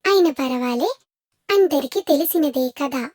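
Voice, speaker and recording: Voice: high-pitched, lively intonation, moderate pace, darkish tone, no echo, clean studio sound. A childlike voice presenting nursery songs in scripted introductions, addressing children with questions.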